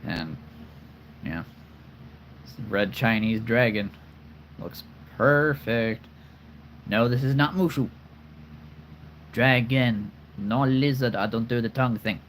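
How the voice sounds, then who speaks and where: A man's voice: medium pitch, slow pace, pauses often, slight background noise. A man hosting a casual livestream, talking earnestly and rambling with many fillers and restarts. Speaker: collectible figure channel host.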